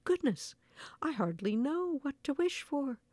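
A woman's voice: lowish voice, lively intonation, a little fast, creaky, dry recording. A woman voicing a little old husband in a storybook tale, absent-minded and easily flustered, given to exclaiming.

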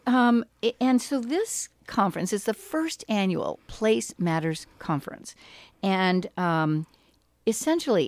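A woman hosts a radio talk show and asks her guests encouraging questions, often stumbling and repeating words as she begins. Her voice sits a little low and sounds close and dry. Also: creaky, darkish tone, dry recording. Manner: encouraging, repeats words when starting sentences.

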